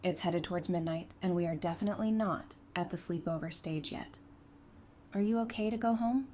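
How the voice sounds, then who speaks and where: A woman's voice: lowish voice, a little fast, mellow, slight background noise, natural voice. An actress playing a sensible, affectionate, plain-spoken woman in an audio drama. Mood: caring, practical. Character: frank, teasing, sensible woman, affectionate with her sweetheart.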